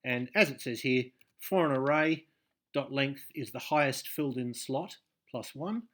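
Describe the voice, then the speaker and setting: A man hosting a coding tutorial over a screen share, hesitant and full of ums and restarts, often trailing off quietly. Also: mid-range voice, unhurried. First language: English. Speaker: game dev tutorial host.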